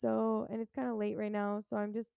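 A woman co-hosting a podcast while stuffed up with a head cold and tired, giving brief, low-key remarks. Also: flat delivery, fairly quick, vocal fry, dark tone, smooth, no room sound, clean studio sound. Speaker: podcast co-host. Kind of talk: casual movie review chat. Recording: tinny phone-like sound.

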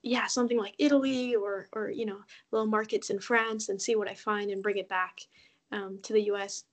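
A woman from Mexico, a podcast guest, talking in a relaxed, chatty way with frequent ums. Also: medium pitch, fairly quick. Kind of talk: casual interview conversation.

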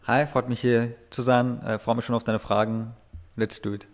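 A man's voice: mid-range voice, little pitch movement, moderate pace. A man, speaking as an interview guest, hesitant, with many filler sounds and easy laughs. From Austria. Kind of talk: casual interview talk.

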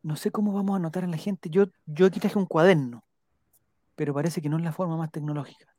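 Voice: medium pitch, steady tone, fast talker, dark tone, no echo, studio quality. A Chilean-accented live stream host chatting casually and playfully, reading out viewer comments and repeating names in a rambling way. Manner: rambling, repeats names while jotting them down; playful, joking.

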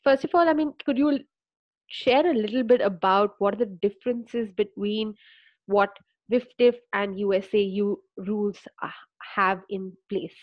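A woman's voice: medium pitch, normal speed, no room sound, dull audio. Hesitant woman co-host on a call. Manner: hesitant questioner with many fillers. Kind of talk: casual interview over a call.

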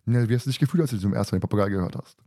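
A man with a fairly low voice talks very quickly as he moderates a casual podcast. He is chatty and teasing, and the sound is dry and close. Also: mellow, no echo. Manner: joking, teasing, talkative. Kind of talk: casual podcast chat and announcements.

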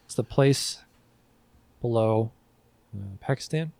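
A man's voice: mid-range voice, slow, gravelly, a little mellow, no echo. A man hosting a podcast quiz in a casual, bantering style. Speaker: podcast host running a quiz.

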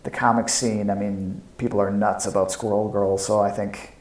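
A man's voice: on the low side, flat delivery, moderate pace, darkish tone. A man co-hosting a podcast, talking casually and informally as a fan. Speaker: podcast co-host. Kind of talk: casual chat about comics and toys. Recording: echoey, roomy podcast audio.

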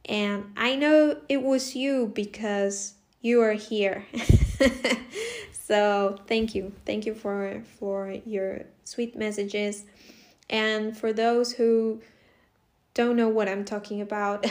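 A woman in her twenties from Costa Rica, a native Spanish speaker, hosting a casual solo podcast in a chatty way, often laughing and restarting her sentences. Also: medium pitch. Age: 20s. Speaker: podcast host.

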